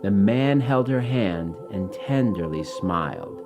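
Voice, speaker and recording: A man reading his own rhyming children's story in an expressive, dramatic way. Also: fairly low pitch, takes it slowly, over music.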